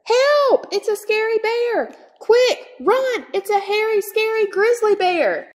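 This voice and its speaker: Woman voicing a shrill, panicking cowardly rat. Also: lively intonation, slow, heavily acted. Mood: panicked. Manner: shrieking, frantic. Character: mean rat gang, turned cowardly and panicking.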